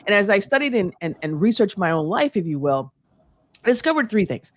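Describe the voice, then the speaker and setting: A woman's voice: mid-range voice, big pitch swings, brisk. A woman from Puerto Rico whose first language is Spanish, giving earnest interview answers full of restarts and "you know", with Spanish phrases mixed in. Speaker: guest speaker.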